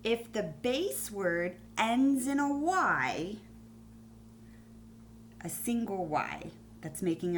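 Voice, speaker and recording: A woman teaches young pupils in a video lesson, speaking slowly at a middle pitch. She explains deliberately, spelling things out as she goes. There is faint noise behind her voice. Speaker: primary school teacher.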